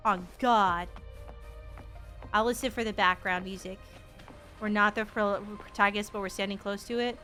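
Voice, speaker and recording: A young woman streamer giving casual game reaction commentary in an expressive, sarcastic, slangy and sweary way. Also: mid-range voice, moderate pace, pauses often, faint music behind. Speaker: VTuber streamer.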